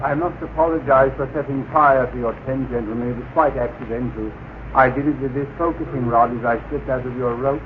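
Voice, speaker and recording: An actor playing a haughty alien officer in a radio drama, speaking with formal, precise and condescending diction. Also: male voice, mid-range voice, fairly even intonation, brisk, lightly acted. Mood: polite, superior. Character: haughty male alien officer, coolly contemptuous of humans. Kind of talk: old-time radio drama acting. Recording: tinny, noisy vintage radio recording.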